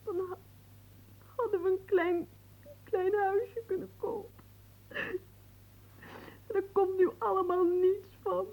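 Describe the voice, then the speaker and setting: A high-voiced, emotional peasant sweetheart. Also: female voice, steady tone, takes it slowly, long pauses, a little mellow, exaggerated voice. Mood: tearful. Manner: theatrical, emotional. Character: doting young peasant sweetheart, naive, jealous, quick-tempered. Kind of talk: radio play acting.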